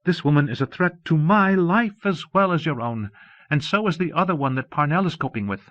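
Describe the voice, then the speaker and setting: A man voicing an imperious, coldly possessive disembodied mastermind in an audiobook, with slow, emphatic, formal commands. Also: fairly high pitch, animated, a bit bright, no echo, voice pushed up. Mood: stern, menacing. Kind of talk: audiobook character dialogue. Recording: dull lo-fi cassette audiobook.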